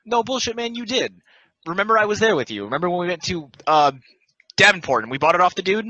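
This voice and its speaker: A man's voice: sits high, animated, fast, sharp tone, no echo, lo-fi sound. A man reading the part of a brash, foul-mouthed friend, arguing in slangy banter at a play table read. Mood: exasperated. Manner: combative, slangy.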